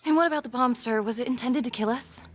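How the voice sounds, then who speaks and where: A woman playing a determined, guarded homicide detective in a crime thriller game, serious and direct with a creaky voice. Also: fairly high pitch, fast talker, slight background noise, lightly acted. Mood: probing. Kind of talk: cinematic crime game acting. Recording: dramatic music behind the voice. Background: music.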